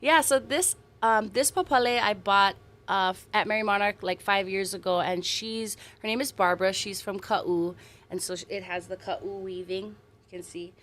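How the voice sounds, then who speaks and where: An earnest woman from Hawaii in her thirties, speaking at a middle pitch. She tells her stories with animated, heartfelt warmth in a relaxed interview. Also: moderate pace. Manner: warm, earnest, animated storytelling with soft laughs. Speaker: singer-songwriter guest. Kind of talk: casual interview chat.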